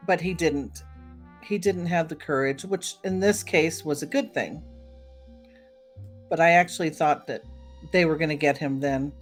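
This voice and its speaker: A woman's voice: sits low, measured pace, pauses often, soft background music. A woman hosting a podcast, chatting casually to her listeners. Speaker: podcast host.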